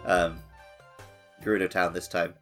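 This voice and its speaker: A man's voice: deep voice, little pitch movement, measured pace, fairly bright tone. A man co-hosting a podcast, chatting casually and jokingly about a video game. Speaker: podcast co-host. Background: music.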